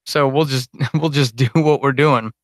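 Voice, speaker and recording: A man's voice: mid-range voice, steady tone, moderate pace, no room sound, studio quality. A man chatting casually and unscripted to his supporters on a livestream, rambling, informal and self-deprecating as a podcast host.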